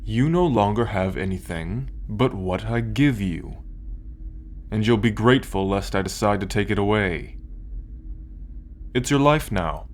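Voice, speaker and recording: An arrogant alien warlord acted by a man speaks in a low voice, very slowly and deliberately. He is commanding, condescending and possessive, in the intimate manner of an ASMR roleplay. Clear background noise and ambience sit behind him. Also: animated. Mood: cold, domineering. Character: arrogant possessive male alien military conqueror. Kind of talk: ASMR roleplay, scripted monologue to listener.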